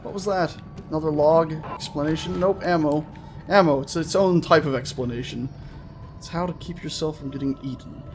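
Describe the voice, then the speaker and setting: A man's voice: a little high, normal speed. A man giving casual, rambling let's play commentary, often interrupting himself with playful wording. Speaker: gaming video host. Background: game music.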